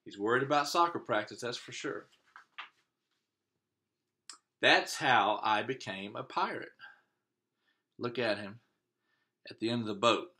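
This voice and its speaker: Bright-voiced man reading to children. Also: medium pitch. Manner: pauses to comment on the pictures. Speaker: elementary school teacher. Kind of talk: children's picture-book read-aloud with casual asides.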